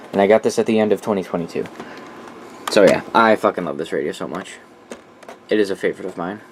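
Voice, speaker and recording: A man's voice: fairly low pitch, darkish tone, slight background noise. A man presenting a collection in a casual, unscripted way, informal and opinionated, swearing freely. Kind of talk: casual unscripted product walkthrough.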